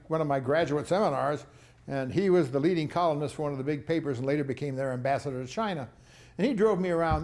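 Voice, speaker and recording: A man's voice: medium pitch, darkish tone, echoey room. A man giving a rambling talk on foreign policy, with chuckling asides, answering audience questions. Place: lecture hall.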